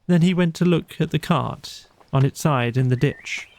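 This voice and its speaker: A man narrating a bedtime storybook in a measured, expressive storytelling manner. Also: a little high. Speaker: audiobook narrator.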